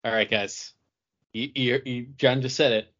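A man's voice: mid-range voice, steady tone, unhurried, fairly bright tone. An American man chatting casually as a podcast co-host. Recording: dull, lo-fi audio.

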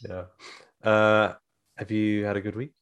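A man hosting a podcast, chatting and telling stories in a relaxed way. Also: lowish voice, a little slow, smooth, lo-fi sound. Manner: relaxed storyteller, laughs easily. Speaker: podcast host. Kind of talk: casual chat.

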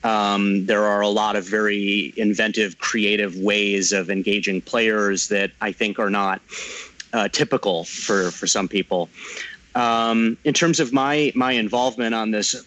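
A man speaking as an interview guest, explaining at length in a measured way with frequent fillers. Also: fairly low pitch, fairly even intonation, fairly bright tone, no echo, low-quality recording. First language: English. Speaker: game translator guest. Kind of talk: casual interview conversation.

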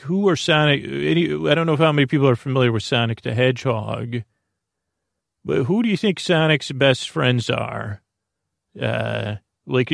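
A man with a gravelly, creaky voice drifts from thought to thought in an unhurried bedtime ramble. He trails off and restarts constantly, filling the gaps with soft "uh"s. The sound is dry and close, with no room around it. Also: mid-range voice, dry recording. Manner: meandering, many fillers and restarts. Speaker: podcast host. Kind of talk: rambling sleep-podcast monologue.

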